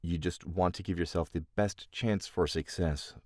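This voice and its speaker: Man, deep low pitch, casual explanatory delivery, voice actor, dry close sound. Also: steady tone, moderate pace, a little mellow, no room sound. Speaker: voice actor and audiobook narrator. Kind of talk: casual explanatory talk to camera.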